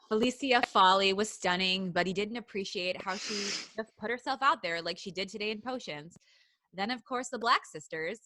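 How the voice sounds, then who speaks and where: A woman podcast host reads fan fiction aloud and keeps breaking into laughter and side jokes. Her voice is bright and clear. The recording is dry, with no room echo. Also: medium pitch.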